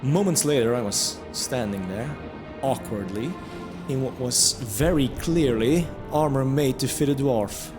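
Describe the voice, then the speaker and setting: A man's voice: mid-range voice, measured pace. Reflective male storyteller over music. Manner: reflective storytelling with wry asides. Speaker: first-person narrator. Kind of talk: scripted fantasy audio drama narration. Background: music under the voice.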